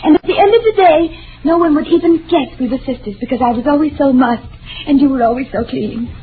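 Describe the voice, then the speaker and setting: A woman's voice: high-pitched, fairly quick, lightly acted. An actress playing a childlike, lonely woman of nearly forty in an old-time radio drama, with a timid, wistful, pleading manner. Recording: tinny, noisy old radio recording.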